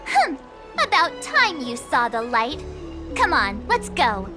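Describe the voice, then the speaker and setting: Sassy, very high-pitched girl adventurer. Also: slow, music in the background, heavily acted. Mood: defiant. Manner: sassy, expressive. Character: spirited, assertive young girl adventurer. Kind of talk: video game character dialogue.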